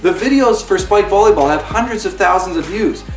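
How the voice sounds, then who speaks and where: A man's voice: sits high, lively intonation, brisk, distant mic. Impassioned man reviewing a game, roomy echo. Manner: earnest and impassioned, with pleading appeals. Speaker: video game reviewer and channel host. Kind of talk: spoken video game review. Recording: roomy echo, away from the mic, faint music.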